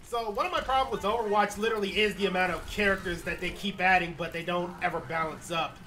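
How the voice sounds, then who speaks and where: A man's voice: high voice, quick pace, bright, forceful tone, a little hiss or hum. A man playing a team shooter with a friend, making wry, complaining remarks about the game. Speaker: co-op gaming partner. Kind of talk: casual gaming chat.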